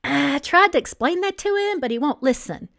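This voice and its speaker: A woman's voice: high voice, animated, moderate pace, vocal fry, a bit bright, pitched above the performer's own voice, exaggerated voice. A woman voicing a timid, bookish young male assistant in an audiobook, flustered and anxious, stammering a little. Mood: anxious, apologetic.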